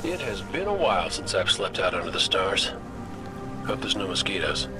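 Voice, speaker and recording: A man's voice: sits low, big pitch swings, moderate pace. A man voicing a wisecracking, easygoing veteran hunter in a video game, with a wry, breezy manner. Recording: game audio under loud music. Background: loud game music.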